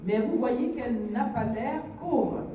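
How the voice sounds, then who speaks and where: This is a Cameroonian woman with a fairly high, mellow voice, leading a film club discussion. She engages her young listeners like a teacher, putting questions to them. The sound is very echoey, as if caught away from the microphone in a big hall. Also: unhurried, distant mic, a little hiss or hum. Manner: engaging, asks questions, switches between French and English. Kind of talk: addressing a young audience, didactic. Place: screening hall with audience.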